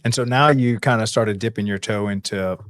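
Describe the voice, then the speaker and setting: Podcast interviewer: male, fairly low voice, casual and conversational, recorded dry with no room echo. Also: a little fast, fairly bright tone.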